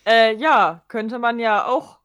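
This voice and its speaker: A woman's voice: a little high, slow pace, fairly bright tone, smooth. A woman chatting casually as a speaker on a podcast. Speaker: podcast co-host or guest.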